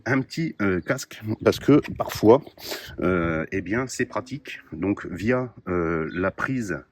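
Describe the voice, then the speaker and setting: A man showing his radio kit to the camera in a casual, explanatory way, often pausing with hesitant fillers. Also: deep voice, lively intonation. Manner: explanatory, many "euh" pauses. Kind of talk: casual gear show-and-tell.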